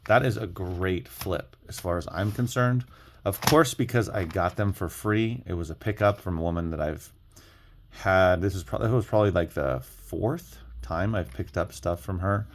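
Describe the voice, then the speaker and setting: Low-voiced man chatting casually, noisy recording. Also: moderate pace. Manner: rambling, repeats and rephrases himself. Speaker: online reseller sharing sales tips. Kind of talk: casual reselling vlog talk. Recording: clearly audible background noise.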